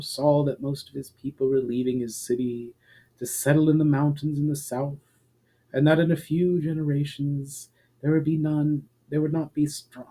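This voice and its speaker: A man narrating a fantasy story as a dramatic reading, with deliberate, emphatic phrasing. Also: medium pitch, warm tone, low-quality recording.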